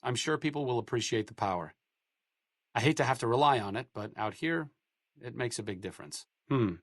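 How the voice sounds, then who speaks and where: A man's voice: mid-range voice, expressive, fairly quick, no room sound. An actor playing a dry, sardonic male scientist companion in a video game. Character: wry, educated male scientist companion in a wasteland. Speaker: companion character.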